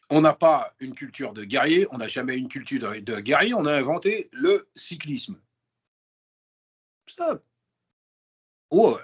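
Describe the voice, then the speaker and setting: A man's voice: medium pitch, breathy edge. A man as an interview guest, chatting casually and emphatically in slang, with lots of restarts and repeated words. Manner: emphatic and animated, full of restarts and repetition.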